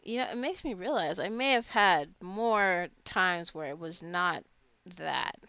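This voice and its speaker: Female gamer, slightly low voice, chatty and rambling, musing aloud through a casual playthrough. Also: animated, a little slow, sharp tone, no room sound. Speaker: gamer narrating own gameplay. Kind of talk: casual let's play commentary.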